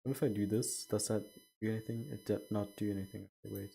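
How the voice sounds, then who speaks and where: Rambling young male streamer, low voice. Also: fairly even intonation, quick pace, gravelly, warm tone, breathy edge. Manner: rambling, self-correcting. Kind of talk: casual chat while gaming.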